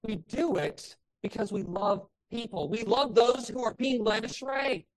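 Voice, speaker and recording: Woman pastor, fairly high voice, loud and impassioned in the pulpit, hits emphatic peaks. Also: a little slow. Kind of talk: sermon.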